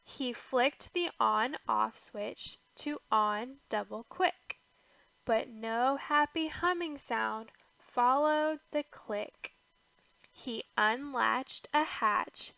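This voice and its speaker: A woman reads a rhyming picture book aloud in a higher-pitched voice with noticeable fry. She takes it extremely slowly, setting out each short phrase with care. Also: sharp tone, no room sound, low-quality recording. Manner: deliberate phrasing with pauses between short phrases. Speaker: storybook reader.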